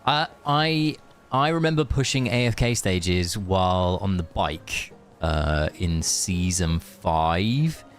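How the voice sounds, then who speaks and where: A man's voice: sits low, lively intonation, slow. A man streaming a game, chatting casually and rambling in friendly asides to his viewers. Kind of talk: casual live gaming stream chat. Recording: music behind the voice.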